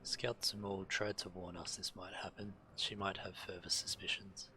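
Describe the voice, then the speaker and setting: A man streaming a video game, reading quest text aloud in a theatrical, deliberate way. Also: low-pitched, level tone, background noise. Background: faint game music.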